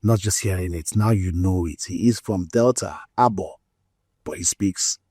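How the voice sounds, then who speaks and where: Male host, low pitch, warm tone, probing questions, speaks to the audience. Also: moderate pace, breathy edge, no echo, studio quality. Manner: addresses viewers directly, probing follow-up questions. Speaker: interviewer host. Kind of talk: interview host talk.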